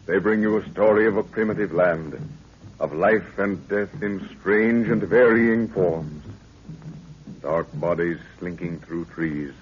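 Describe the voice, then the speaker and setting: A man's voice: sits low, slight background noise. A man narrating a 1930s radio serial in a solemn, melodramatic, declamatory way. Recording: muffled old 1930s radio recording.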